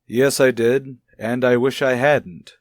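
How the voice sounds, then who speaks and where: Actor as male child psychologist, medium pitch, measured, soothing, gently questioning, tactful, radio soap drama, dry recording. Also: steady tone, no echo, natural voice. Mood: guarded, serious. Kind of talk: old radio soap opera acting.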